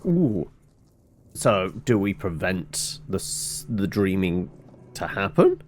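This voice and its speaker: A man's voice: low voice, sing-song, slow, darkish tone. A man giving casual playthrough commentary on a video game, playfully thinking aloud. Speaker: gaming video host. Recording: faint background noise, game ambience.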